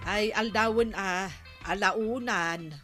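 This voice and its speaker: Bright, exasperated nagging wife. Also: female voice, medium pitch, slow, soft background music. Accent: Ilocano. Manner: scolding, exasperated. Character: exasperated nagging wife of the lazy village captain. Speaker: radio comedy drama actress. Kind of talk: Filipino radio comedy drama acting.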